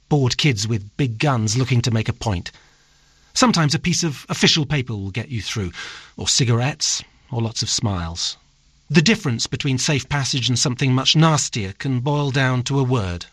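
A man reading a scripted radio essay as a foreign correspondent, in a measured storytelling manner. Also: mid-range voice, a bit bright, husky, no echo.

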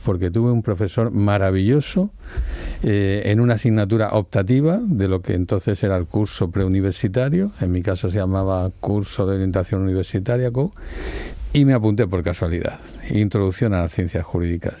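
Low, mellow-voiced older judge. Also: male voice, no room sound. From Spain. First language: Valencian. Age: 60s. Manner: measured, explanatory, pauses to rephrase. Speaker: Supreme Court judge and law professor. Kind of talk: reflective interview answers.

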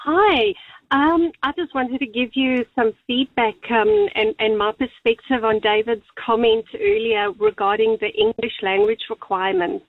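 Woman, radio caller, raised pitch, conversational personal account, thin telephone sound. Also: big pitch swings, moderate pace, dry recording. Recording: thin, narrow telephone line.

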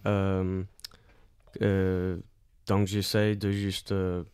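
A man from Australia chatting casually as an interview guest in a studio, speaking halting French and searching for words. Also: sits low, monotone, a little mellow, smooth, dry recording. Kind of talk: casual chat.

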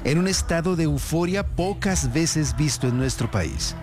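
A man's voice: a little high, animated, a little slow. A man reading a scripted news report in a polished, upbeat way. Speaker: field reporter. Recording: report voice-over with music bed.

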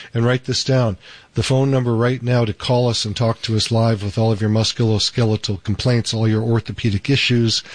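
A man hosting a live call-in radio show as a doctor, upbeat and promotional, slowing down to repeat details. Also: on the low side, no room sound. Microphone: studio microphone.

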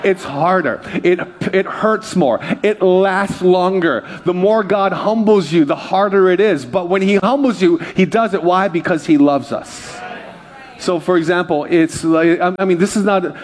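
Pastor from Hawaii, male, fiery sermon with call-outs for amen, slightly high voice. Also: unhurried. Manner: exhorting, calls for amens, swings between loud high bursts and low quiet asides.

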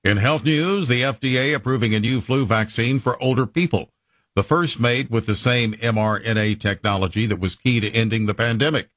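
A man reading the radio news in a crisp, formal manner. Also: mid-range voice, brisk, fairly bright tone, no room sound. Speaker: newscaster.

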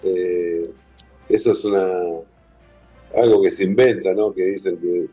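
Interview guest: Argentine man, low mellow voice, chatty and relaxed. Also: a little slow, breathy edge, faint music behind, poor audio. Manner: warm, chatty, explains with lists and repetition. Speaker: guest, whisky museum owner. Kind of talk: casual interview talk.

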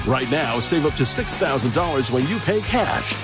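A man's voice: medium pitch, big pitch swings, moderate pace, dull audio, music in the background. A man reading a scripted radio advert as a commercial announcer.

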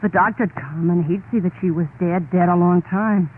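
An actress playing a haunted, unhinged wife confessing to murder in an old radio horror play, intense and halting, insistently repeating herself. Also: female voice, lowish voice, natural voice. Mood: dread. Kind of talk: old-time radio horror monologue, dramatic first-person narration. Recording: tinny, band-limited old radio recording, faint noise.

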